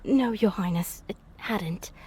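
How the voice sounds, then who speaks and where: A woman playing a meek servant, hesitant and deferential, with a husky, hushed voice, in an audio roleplay. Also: mid-range voice, takes it slowly, low-quality recording. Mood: nervous, submissive. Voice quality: husky, breathy, creaky. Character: meek nervous female servant, submissive.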